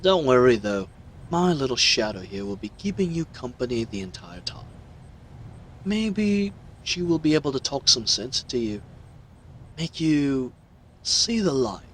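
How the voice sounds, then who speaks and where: A man's voice: mid-range voice, very animated, measured pace, a little hiss or hum, rough recording. A man voicing a smug, mocking villain with theatrical, condescending delivery in an audio roleplay. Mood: smug, taunting. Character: smug, mocking male villain crime broker.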